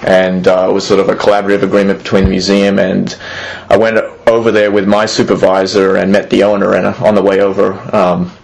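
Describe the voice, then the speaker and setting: A man's voice: deep voice, monotone, fairly quick, creaky, darkish tone, low-quality recording. A man in his thirties, originally from Australia, answering interview questions hesitantly with many fillers and restarts. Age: 30s. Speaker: museum executive, interviewee.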